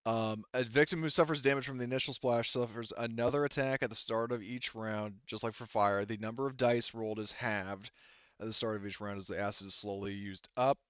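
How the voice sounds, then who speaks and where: A man's voice: medium pitch, fairly even intonation, fairly quick, fairly bright tone, smooth, dry recording, dull audio. A man speaking casually as a game master, hesitantly thinking aloud as he works through tabletop game rules.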